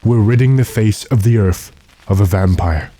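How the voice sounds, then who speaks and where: A man playing a calm, commanding gentleman vampire hunter in a radio horror drama, deliberate and authoritative. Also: on the low side, slight background noise, natural voice. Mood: solemn.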